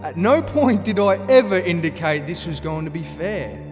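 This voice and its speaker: A man's voice: on the high side, very animated, normal speed. An actor playing a gleefully cruel nome king in a fantasy audio drama, with a mocking, theatrical delivery. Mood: smug taunting. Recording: long hall reverb, music underneath.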